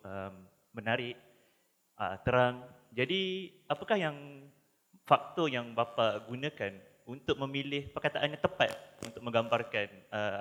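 Man from Malaysia, Malaysian accent, formal polite question, quick speech, long hall reverb. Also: mid-range voice, fairly bright tone. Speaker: audience member asking a question.

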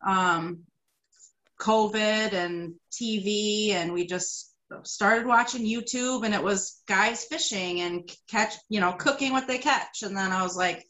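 Woman, 30s, upstate New York, relaxed chatty guest. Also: mid-range voice, measured pace. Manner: relaxed storytelling with frequent ums. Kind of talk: casual interview chat.

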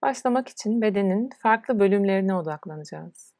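A woman leading a guided relaxation as a yoga nidra instructor, speaking in a soothing, unhurried way with pauses between instructions. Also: mid-range voice, expressive, darkish tone, no room sound, studio quality.

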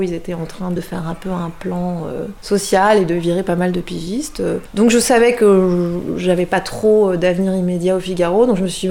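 A woman's voice: mid-range voice, gravelly, dark tone. A woman in her forties from France, talking casually and hesitantly about herself as an interviewee. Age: 40s. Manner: hesitant, frequent fillers. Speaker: freelance journalist, interviewee. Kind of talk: casual spoken testimony.

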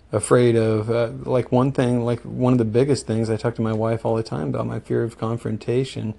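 A man's voice: medium pitch, vocal fry, warm tone. A man with the flu leaving a recorded message for a podcast host, speaking hesitantly with many fillers. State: sick with the flu. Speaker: caller.

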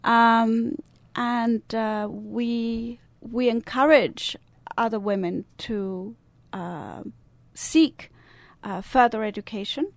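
A woman's voice: on the high side, takes it slowly, vocal fry, hardly any pauses, dry recording. An older woman from the Italian-speaking part of Switzerland, with a Swiss Italian accent, answering questions on a radio talk show with frequent hesitations and fillers. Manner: hesitant, many fillers and restarts. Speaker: guest, service club member. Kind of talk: radio interview.

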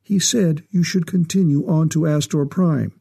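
Older official, read by a male narrator: measured, formal, very dark husky tone, story reading. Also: a little high, voice pushed up. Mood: restrained.

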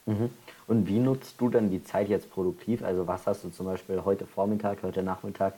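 Mellow, low-voiced male interviewer. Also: fairly even intonation, a little fast, slightly rough. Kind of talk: casual interview questions.

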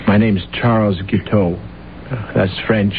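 A narrow, noisy vintage radio recording carries a bedraggled, self-deluded assassin. His voice sits fairly low, and he speaks with courtly, pompous formality, stumbling and hesitating as he goes. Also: steady tone, takes it slowly. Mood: courtly, pretentious. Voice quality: hesitant, stammering. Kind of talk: old-time radio drama acting. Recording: muffled, noisy old radio broadcast recording.

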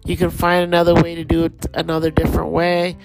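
A woman with a Thai accent giving a relaxed, rambling daily astrology forecast as host. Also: on the low side, fast, vocal fry, faint music behind.

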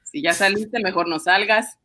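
A woman's voice: medium pitch, moderate pace, a crowd in the background. A woman chatting casually, familiar and joking. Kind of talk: casual bantering chat.

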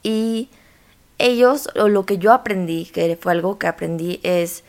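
A woman's voice: medium pitch, measured pace. A woman with a Mexican accent hosting a conversational podcast, speaking informally and straight to the listener. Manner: informal, addresses listener directly. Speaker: podcast host.

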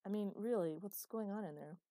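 A woman's voice: medium pitch, brisk, dark tone, no room sound, clean studio sound, close to an everyday voice. A woman reading a man's lines, a blunt and exasperated partner, in a storybook reading. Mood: tender. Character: man, gruff worried partner.

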